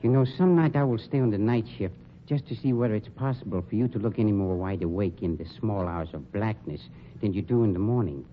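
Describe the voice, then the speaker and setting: An actor in an old-time radio crime drama playing a suave, sardonic funeral director with polished, condescending delivery. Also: male voice, fairly low pitch, lightly acted. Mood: dry sarcasm. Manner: polished, sarcastic, condescending, deliberate diction. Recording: dull, noisy old radio recording.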